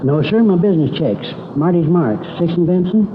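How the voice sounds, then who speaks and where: An American actor playing a plain-spoken, eager grocery store owner in an old radio drama, talkative and folksy. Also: male voice, fairly high pitch, expressive, normal speed, background noise, natural voice. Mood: anxious, plain. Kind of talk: 1950s radio drama acting. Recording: thin, tinny old radio recording.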